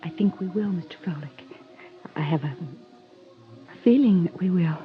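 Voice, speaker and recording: A woman playing an earnest, yearning young woman, soft and wistful, in a 1950s radio drama. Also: on the low side, animated, moderate pace, close to an everyday voice. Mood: hushed, hopeful. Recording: dull vintage radio recording with music.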